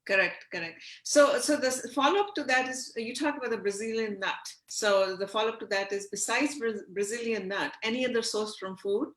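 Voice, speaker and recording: A woman hosting an interview, reading out viewer questions haltingly with fillers, heard over a video call. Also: a little high, fairly bright tone. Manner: reads questions aloud with hesitant fillers. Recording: echoey room.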